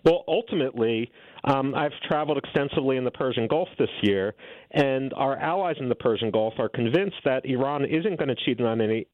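A man's voice: medium pitch, expressive, fairly quick, no echo. Man, middle-aged, assertive, fluent, dry tinny phone-line sound. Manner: fluent, assertive, argues point by point. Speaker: guest author and policy analyst. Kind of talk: interview answers, policy argument.

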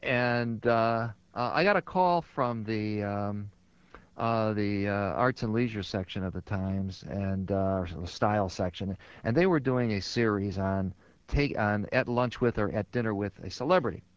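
Older man telling stories, halting delivery. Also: fairly low pitch, clean tone, no room sound. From Chicago. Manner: halting, many fillers and restarts. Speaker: guest, sports writer. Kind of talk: conversational storytelling. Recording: dull lo-fi radio sound.

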